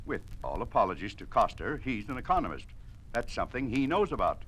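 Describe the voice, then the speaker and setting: Animated skeptical American committeeman. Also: medium pitch, fairly quick. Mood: skeptical, probing. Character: skeptical male communist committee member. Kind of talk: old-time radio drama acting. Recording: noisy vintage radio recording.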